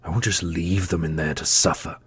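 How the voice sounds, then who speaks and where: A man's voice: sits low, steady tone, a little fast, slightly rough, dry recording. A man playing an earnest, steadfast warrior prince in a fantasy audio roleplay, speaking with serious deliberation. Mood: resolute. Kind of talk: ASMR fantasy roleplay acting.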